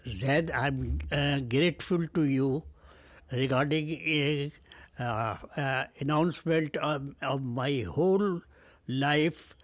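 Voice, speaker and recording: Older Pakistani man in his 70s, native Urdu speaker: high-pitched, slow and halting, dry close sound. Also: no room sound. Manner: hesitant, halting, many fillers, formal phrasing. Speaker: interview guest. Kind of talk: family interview.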